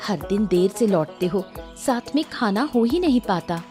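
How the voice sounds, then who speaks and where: A caring cartoon village wife, voiced by a woman, affectionate and gently nagging. Her mid-pitched voice is very expressive, with music behind it. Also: moderate pace, lightly acted. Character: caring village wife, mildly chiding homemaker. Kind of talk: Hindi cartoon moral story acting.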